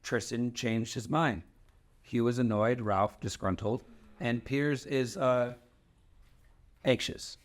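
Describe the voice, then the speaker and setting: A man's voice: medium pitch, slow pace, long pauses, natural voice. An actor playing a courteous, attentive male attendant to royalty in a fantasy table read.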